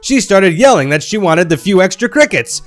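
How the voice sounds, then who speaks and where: A man's voice: high-pitched, big pitch swings, moderate pace. A man reading online stories aloud in a playful, wry storytelling manner. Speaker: narrator reading Reddit stories.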